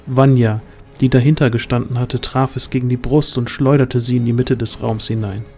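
A man's voice: mid-range voice, level tone, normal speed, a little mellow. A man giving scripted narration for a fantasy audio drama, as its storyteller. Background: music.

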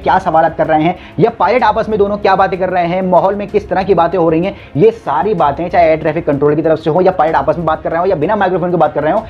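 A man in his twenties presenting an educational explainer, making each point emphatically and with repetition. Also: a little high. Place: indoors, small room with a plain wall. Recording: dull lo-fi sound with music behind. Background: background music.

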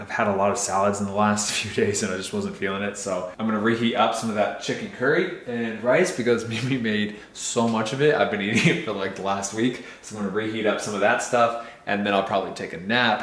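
A man in his thirties chatting casually to the camera about his training day, filmed at arm's length in a home kitchen. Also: on the low side. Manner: relaxed, chatty, rambling. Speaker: professional soccer player vlogger. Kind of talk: casual vlog chat. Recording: echoey room with faint noise.

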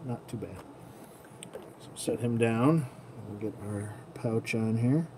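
Casual man over clear background noise. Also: fairly low pitch, slow, a little mellow. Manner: murmurs to himself while working with his hands. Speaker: toy collector host. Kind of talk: casual hands-on tutorial commentary. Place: indoor tabletop setup. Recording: clearly noisy room ambience.

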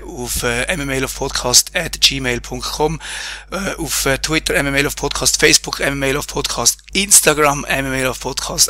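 Slightly hoarse host, bright tone. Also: male voice, a little high. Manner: relaxed, lots of fillers and repeats. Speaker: podcast host. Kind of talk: casual interview and show outro.

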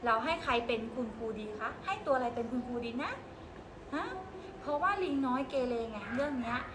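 High-voiced coaxing preschool teacher, woman. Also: fairly quick, echoey room, background noise. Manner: patient, coaxing questions to small children. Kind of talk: guiding young children to make up a story. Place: classroom.

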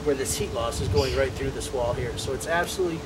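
A man's voice: mid-range voice, fast talker. A man in his thirties giving a home improvement walkthrough outside a house and in a basement, explaining step by step and correcting his own slips. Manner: explanatory, trips over words then corrects. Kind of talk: instructional walkthrough. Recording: roomy, distant, faint background hiss.

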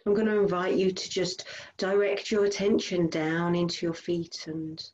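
A woman leading a guided body scan meditation as a teacher, giving gentle instructions in short phrases with unhurried pauses. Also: fairly low pitch, steady tone, highs cut off, slight background noise.

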